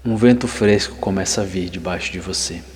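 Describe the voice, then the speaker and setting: A man narrating a guided ASMR journey slowly and softly, with pauses and near-whispered moments. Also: low-pitched. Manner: hushed, unhurried, with soft pauses, sometimes near whisper. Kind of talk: slow immersive ASMR guided narration, second person. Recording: long reverb over the voice. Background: music.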